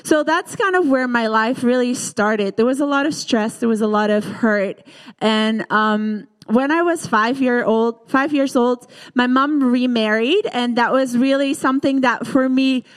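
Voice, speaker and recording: A woman in her thirties from the Netherlands with a Dutch accent, giving a personal testimony in church in an earnest, halting way with many fillers. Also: fairly high pitch, clean tone. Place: church hall. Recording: reverberant church hall.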